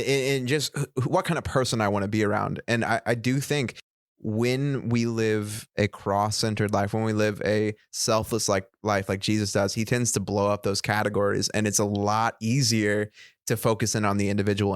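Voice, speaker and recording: Guy w a lowish voice on a faith podcast, thoughtful, gropes for words, dry mic. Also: level tone, moderate pace, no echo. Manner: reflective, searches for words, self-deprecating. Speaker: podcast co-host. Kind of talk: casual faith discussion.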